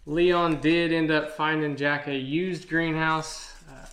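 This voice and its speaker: A man's voice: fairly high pitch, little pitch movement, slow, fairly bright tone. A middle-aged American man chatting casually to viewers in a plainspoken, folksy way, as a family channel co-host. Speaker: co-host, father helping present a family channel. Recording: echoey, roomy home recording.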